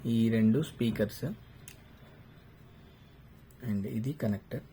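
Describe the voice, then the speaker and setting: A man's voice: on the low side, slow, dark tone. A man gives a casual, explanatory step-by-step unboxing and installation demonstration, mixing in English terms. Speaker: motovlogger presenting a product unboxing. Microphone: lavalier. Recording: clearly noisy lavalier recording with ambience.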